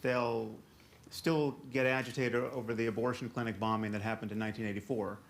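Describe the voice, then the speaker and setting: A man's voice: mid-range voice, steady tone, fairly quick, a little hiss or hum. A man arguing in a televised panel debate, stumbling over restarts as he makes his point. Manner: stammering restarts when interrupted.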